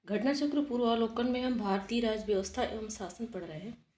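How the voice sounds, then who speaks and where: Woman tutor, a bit high-pitched, quizzing herself as she explains, roomy echo. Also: a little mellow. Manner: explains slowly, asks and answers her own questions. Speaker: exam coaching teacher. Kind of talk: spoken exam-prep lesson.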